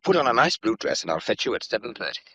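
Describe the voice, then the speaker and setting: An actor playing a breezy young English gentleman in a period radio drama, flippant and self-deprecating in an upper-class way. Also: mid-range voice, big pitch swings, fast talker, dull audio, a little hiss or hum.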